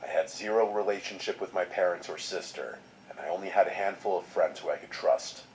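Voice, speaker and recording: Deep-voiced man reading a story. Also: fairly quick, darkish tone, a little hiss or hum, rough recording. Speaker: horror story narrator. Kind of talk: scripted first-person narration.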